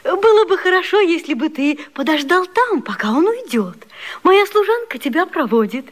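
An actress playing a clever, obliging, flattering young wife in a radio vaudeville. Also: female voice, very high-pitched, normal speed, in a large hall. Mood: polite, cunning.

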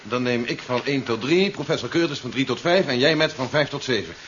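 An actor playing a cautious, orderly military man in a 1970s radio play, talking in a measured, practical way. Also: medium pitch, a bit bright, natural voice. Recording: muffled vintage radio recording, faint noise.

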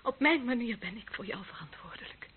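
A woman's voice: fairly high pitch, lively intonation, a little fast, lightly acted. An actress playing an embittered, lonely young policewoman in a radio drama, bitter and taunting, often dropping to a whisper. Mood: coldly menacing. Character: embittered, lonely young policewoman, former death squad member. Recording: muffled phone-like band, clearly noisy old recording.

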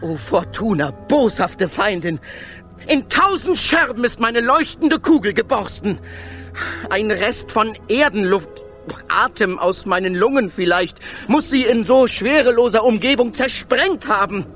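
Radio drama lead: a proud poet-philosopher adrift in space, theatrical, highly animated, over clear music. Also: male voice, unhurried. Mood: anguished exclaiming. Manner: declamatory, rapturous, theatrical. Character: awestruck male space traveller, dreamy poet-philosopher, eloquent and proud. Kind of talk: literary German radio drama monologue.

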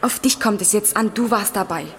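A woman's voice: medium pitch, a little fast, a bit bright, lightly acted. An actress playing an anxious, pleading mother in a German radio crime drama, insistent and imploring. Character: anxious, pleading wife and mother fighting for family.

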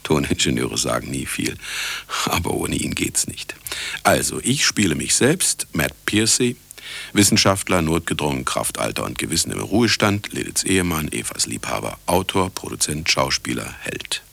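A man's voice: very deep voice, big pitch swings, normal speed, gravelly, fairly bright tone, breathy edge, no echo, full character voice. An actor playing a cynical, vain older retired scientist who narrates his own story in a satirical radio play, sardonic and self-important. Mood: wry. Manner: sardonic, self-important, talking to listener and sound engineer. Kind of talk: satirical radio drama monologue.

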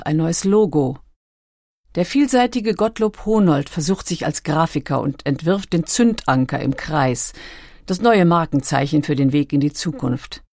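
A woman's voice: on the low side, a little mellow, dry recording, clean studio sound. A woman reading scripted documentary narration as one of its narrators.

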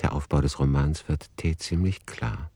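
Deep-voiced male narrator, very dry sound. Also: normal speed, creaky, warm tone, slightly rough, dry recording. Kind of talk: scripted literary radio play narration.

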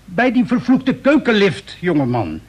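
An actor playing an eccentric, opinionated inventor in a radio play, chatty and indignant, with emphatic rhetorical delivery. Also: male voice, high-pitched, huge pitch swings, a little slow, darkish tone, exaggerated voice. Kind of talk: Dutch radio play dialogue.

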